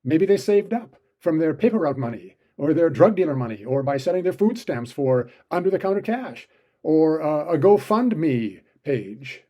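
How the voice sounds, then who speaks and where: A man's voice: a little high, big pitch swings, normal speed, warm tone. A man delivering an opinion commentary monologue, full of sardonic, sarcastic asides.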